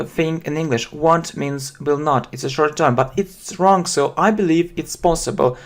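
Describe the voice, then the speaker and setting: A man in his thirties with a Russian accent, teaching English slowly from a small room, stopping often to repeat and define phrases with plenty of fillers. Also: a little high. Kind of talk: slow explanatory lesson.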